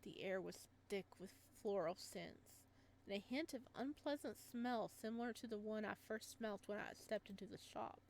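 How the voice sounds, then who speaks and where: A woman reading a visual novel aloud, calm in the narration and quick to break into startled, animated reactions, with faint music behind her. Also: medium pitch, creaky, a little hiss or hum, poor audio.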